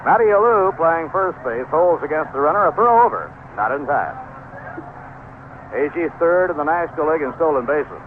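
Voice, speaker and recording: A man's voice: fairly high pitch, lively intonation, normal speed. Tinny old-radio American baseball announcer, man. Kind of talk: live radio baseball commentary. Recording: narrow, noisy 1970s AM radio broadcast.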